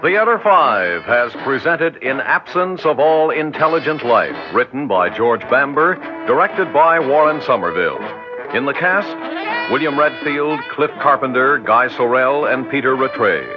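A male announcer in classic radio style, formal and deliberate, with a very lively pitch. Orchestral music plays behind him, and the recording is dulled. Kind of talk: scripted mid-century radio announcing of closing credits. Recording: dull vintage radio recording.

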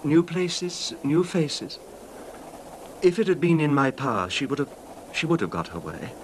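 Genteel, wistful middle-aged recluse, noisy recording. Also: male voice, on the high side, lively intonation. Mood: resigned. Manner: genteel, wistful, halting, formal wording. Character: wealthy middle-aged recluse husband, genteel, hesitant, devoted, nervous. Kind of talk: old time radio drama acting. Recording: noisy vintage radio drama recording.

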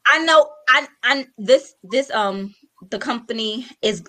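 Animated joking woman talk show co-host. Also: on the high side, measured pace. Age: 30s. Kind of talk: casual talk show chat.

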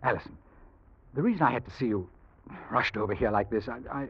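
Actor playing a smooth, stammering scheming suitor, old radio. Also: male voice, medium pitch, animated, slight background noise, natural voice. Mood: pleading, nervous. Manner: halting, stammering, melodramatic. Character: deceitful, desperate foreign suitor turned killer, smooth-talking man. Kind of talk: 1940s radio drama acting. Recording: dull vintage radio recording.

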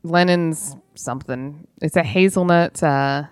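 Woman, low-pitched; casual rambling podcast co-host, dry sound. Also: measured pace, clean tone, dry recording. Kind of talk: casual chat.

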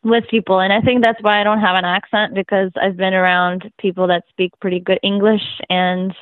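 Young woman from Russia, interview guest, relaxed and laughing, thin tinny sound. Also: mid-range voice, fairly quick, no echo. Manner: giggly, easygoing, chatty. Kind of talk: casual interview. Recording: dry, tinny phone-like line.

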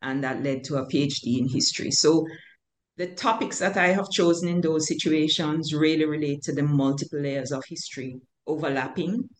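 Jamaican woman, echoey room. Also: low-pitched. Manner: measured, hesitant with many fillers. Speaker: author, guest. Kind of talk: thoughtful interview answers. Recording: echoey room with faint noise.

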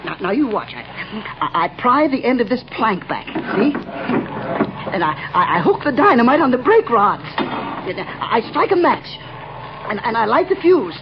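A man's voice: extremely high pitch, sing-song, measured pace, background noise, exaggerated voice. An actor playing a deranged male bomber who stammers, giggles and whimpers, in 1930s radio drama. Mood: giggling, scheming. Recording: dull old radio recording.

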